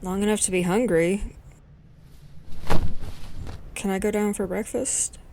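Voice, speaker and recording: A woman's voice: mid-range voice, fairly even intonation, measured pace, gravelly, noisy background. A woman playing a weary, defiant daughter in an audio drama, sardonic and pleading. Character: weary, defiant daughter travelling with her father.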